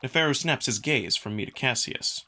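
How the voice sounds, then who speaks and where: A man's voice: medium pitch, moderate pace, bright, forceful tone. A man reading scripted first-person narration for a visual novel as the narrator.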